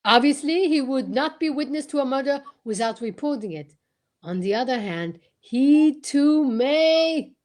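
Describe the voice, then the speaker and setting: A self-assured Belgian gentleman detective from an old-time radio mystery, acted by a woman. The voice sits on the high side, with a courteous, unhurried theatrical delivery sprinkled with French phrases. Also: lively intonation. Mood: confident, deliberate.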